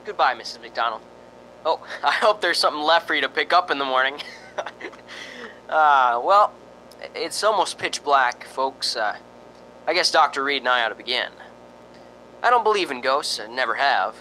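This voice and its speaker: A man acts out a jittery radio reporter in an amateur spooky drama. His voice is bright and very lively in pitch, with stammers and folksy wisecracks. A faint rumble sits behind him as he plays the joking skeptic. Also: moderate pace, long pauses, poor audio. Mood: nervous joking.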